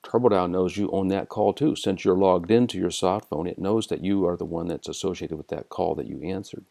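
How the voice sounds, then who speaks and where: An American man narrating an instructional software screencast in a methodical, step-by-step way. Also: sits low, fairly quick, gravelly. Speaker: software tutorial presenter.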